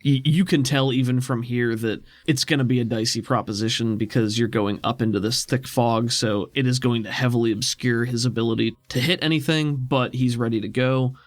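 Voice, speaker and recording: A man narrates a tabletop role-playing game as its game master. His voice sits at an average pitch and is recorded very dry and close, with faint music behind it. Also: fairly even intonation, fairly quick, few pauses, no echo. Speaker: game master of an actual-play podcast.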